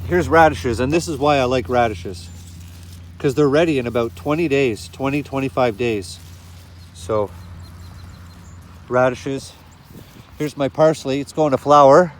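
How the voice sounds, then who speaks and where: A man's voice: mid-range voice, a little slow, long pauses, slight background noise. A man with a sniffly cold, casually walking through his garden in the evening and talking about his plants, with lots of fillers and restarts. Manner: rambling, with frequent fillers and restarts. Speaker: gardening vlogger. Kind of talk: casual walk-through narration. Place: outdoor garden plot in the evening.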